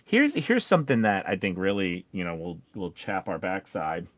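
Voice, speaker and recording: A man's voice: low-pitched, wildly swooping pitch, normal speed, fairly bright tone, no room sound. A man hosting a casual podcast discussion, talking in a rambling, conversational way and posing questions. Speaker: podcast host and voice-over coach.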